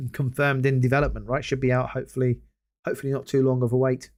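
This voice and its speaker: A man hosting a casual solo gaming podcast, hoarse after hours of talking and rambling with many hesitant restarts. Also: medium pitch, little pitch movement, fast, darkish tone. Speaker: podcast host.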